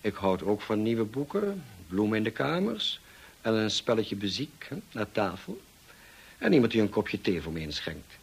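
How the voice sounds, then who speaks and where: An actor playing a brusque, teasing, moody gentleman in a Dutch radio drama, speaking in a dry, commanding way. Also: on the low side, big pitch swings, normal speed, gravelly, breathy edge, heavily acted. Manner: dry, commanding, clipped questioning.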